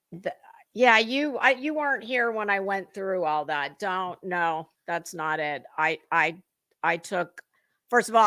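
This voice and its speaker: A woman's voice: mid-range voice, bright, forceful tone, no room sound. A woman hosting a livestream, chatting casually with viewers in a rambling, informal way. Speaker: livestream host.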